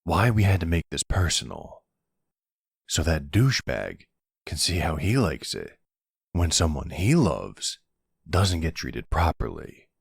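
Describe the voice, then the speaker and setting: A man playing a charming, smooth-talking mafia boss in an ASMR roleplay, wry and flirtatious. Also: low-pitched, no echo, clean studio sound, heavily acted. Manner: flirtatious, wry, exasperated with his cousin. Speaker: voice actor.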